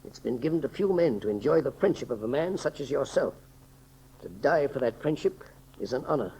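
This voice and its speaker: An actor playing a dignified, self-sacrificing scientist with a husky voice and formal, stately delivery in a 1930s radio serial. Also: male voice, medium pitch, lively intonation, fairly quick, dark tone, slight background noise, close to an everyday voice, poor audio. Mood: resigned, moved.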